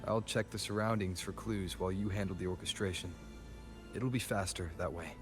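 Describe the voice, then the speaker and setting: A man playing an earnest, thoughtful young adventurer in a video game, measured and articulate as he reasons aloud. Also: deep voice, natural voice. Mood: pondering. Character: earnest, thoughtful young male adventurer piecing clues together. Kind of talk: video game voice acting, story dialogue. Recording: echoey hall reverb, music behind. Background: game music.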